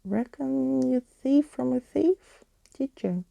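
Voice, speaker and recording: A woman plays a young street thief from a children's storybook, bold and taunting. The voice is lifted in pitch, yet stays mellow and slow, with a cocky swagger. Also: creaky, no room sound, heavily acted.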